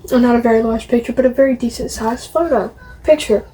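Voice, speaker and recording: A woman's voice: on the high side, fast, dark tone, a little hiss or hum, poor audio. A woman vlogging casually to camera, showing off a collection in a rambling, opinionated way and repeating phrases. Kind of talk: casual show-and-tell to camera.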